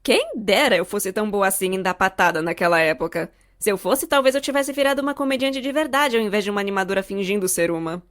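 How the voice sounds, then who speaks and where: A woman with a Brazilian accent giving a scripted comedic narration for an animated story, expressive and playfully sarcastic. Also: medium pitch, fast, few pauses. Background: music.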